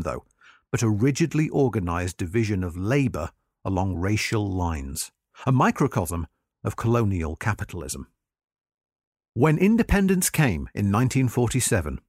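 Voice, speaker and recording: A man reading a scripted article aloud as narrator, in a measured, formal and articulate manner. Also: medium pitch, a little mellow, no echo, clean studio sound.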